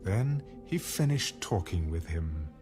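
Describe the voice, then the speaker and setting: A man's voice: low-pitched, sing-song, takes it slowly, mellow. A man narrating scripture from a script for a dramatized audio Bible. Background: music.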